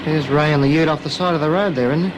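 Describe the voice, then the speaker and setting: An actor playing a nervous, reluctant junior crook in a radio crime drama, with an Australian accent and a hesitant, grumbling way of talking. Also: male voice, a little high, quick pace, noisy background, lightly acted. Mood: watchful.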